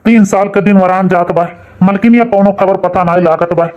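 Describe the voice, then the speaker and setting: A man's voice: high voice, fairly even intonation, normal speed, phone-quality sound, natural voice. A man playing an aggrieved, plain-spoken young village father who grumbles and exclaims in a comic cartoon, with an Awadhi accent. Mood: grumbling.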